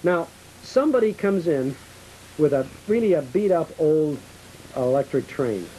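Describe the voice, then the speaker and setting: Guy hosting local tv show, soft warm tone, bit high, old hissy tape. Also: animated, unhurried, low-quality recording. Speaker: host. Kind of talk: conversational local TV interview and ad reading.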